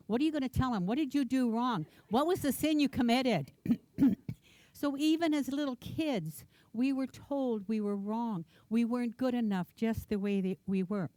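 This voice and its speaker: Earnest woman minister, warm voice. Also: medium pitch, no room sound. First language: Ukrainian. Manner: earnest, reflective, repeats words for emphasis. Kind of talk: personal sermon talk. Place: church.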